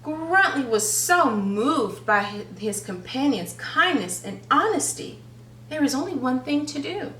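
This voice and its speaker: A woman reading a story aloud to young children with dramatic, deliberate phrasing and questions to the listeners. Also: high-pitched, very animated, sharp tone. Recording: echoey room, distant mic, faint noise.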